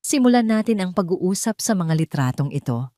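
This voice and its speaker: A woman voicing a calm, cold male lawyer with a formal, measured delivery in an audio drama. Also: lowish voice, no echo, studio quality. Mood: sharp, icy. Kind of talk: Tagalog audio drama storytelling.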